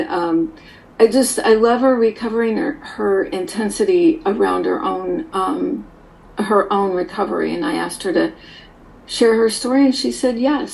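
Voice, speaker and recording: A woman with a noticeably creaky, mid-pitched voice hosts in a casual, informal way. She introduces a speaker haltingly, pausing partway through her sentences. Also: a little mellow, low-quality recording. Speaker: host introducing a speaker at a recovery meeting.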